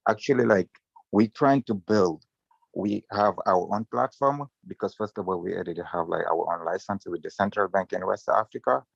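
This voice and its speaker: A man from Senegal talks haltingly, full of "like" and fillers. His voice is very low and gravelly. The sound is dry and muffled, like a video call. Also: dry recording. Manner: halting, filler-heavy non-native English. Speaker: entrepreneur.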